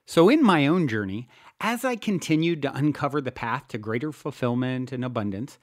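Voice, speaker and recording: A man reading his own self-help audiobook as scripted narration, earnest and measured, with deliberate emphasis. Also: mid-range voice, expressive, dry recording, studio quality. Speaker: podcast host and author reading own audiobook.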